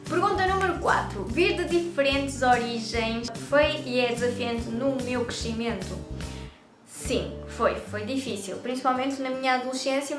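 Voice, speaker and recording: Young woman, European Portuguese accent, high voice, talkative vlogger, echoey room. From Brazil. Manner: chatty and talkative. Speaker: YouTube vlogger. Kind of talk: casual talk to camera.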